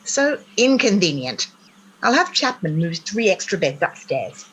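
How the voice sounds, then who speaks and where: A woman's voice: medium pitch, very animated, a little slow, fairly bright tone, husky, heavily acted, rough recording. A woman playing a stern, haughty, old-fashioned girls' school mistress in a radio play, scolding in a clipped, imperious manner. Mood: irritated, scolding. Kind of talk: theatrical radio play acting.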